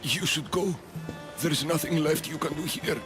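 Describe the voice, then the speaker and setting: A man's voice: a little high, moderate pace, music in the background, lightly acted. An actor playing a dying, grief-stricken male physician in a video game, with a weak, pained delivery. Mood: resigned. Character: dying male physician, grieving his slain pets, pleading. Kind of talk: dramatic video game acting.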